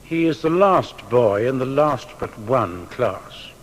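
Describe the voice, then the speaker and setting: An actor playing a stern schoolmaster in an audio biography, making slow, deliberate pronouncements. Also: male voice, medium pitch, lively intonation. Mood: solemn disapproval. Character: stern male schoolmaster reporting on a pupil. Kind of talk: dramatised biography acting. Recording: long reverberant hall echo.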